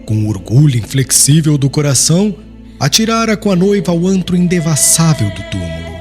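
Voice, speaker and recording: A man's voice: on the high side, sing-song, a little fast, soft background music. A man with a Brazilian accent reading a dramatic audiobook narration in a solemn, literary way. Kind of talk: scripted dramatic storytelling narration.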